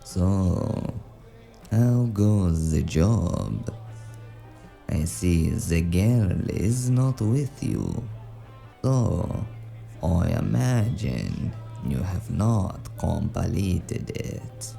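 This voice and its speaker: A man playing a suave, menacing crime boss in a noir audio drama, speaking formally and deliberately with silky threat. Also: sits low, lively intonation, vocal fry, warm tone, faint music behind, full character voice. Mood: smooth, probing.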